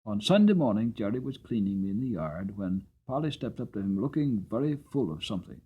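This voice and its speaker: A man narrating a storybook from a script in a dramatic, expressive, measured storytelling manner. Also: fairly low pitch, slightly rough, narrow, tinny audio. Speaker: audiobook narrator.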